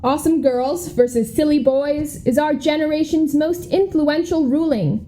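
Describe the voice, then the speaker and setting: Young woman as high-voiced, formal schoolgirl candidate. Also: normal speed, warm tone, a little hiss or hum, lightly acted. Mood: righteous. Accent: American. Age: young adult. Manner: formal, rhetorical politician delivery. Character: earnest, polished schoolgirl running for student president. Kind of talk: school radio play, mock political debate speech.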